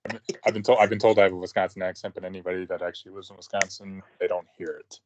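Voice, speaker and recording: A man's voice: sits low, flat delivery, rapid-fire, lo-fi sound, a little hiss or hum. A man with a Pittsburgh accent co-hosting a casual podcast, making wry, mocking remarks. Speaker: podcast co-host. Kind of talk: casual chat.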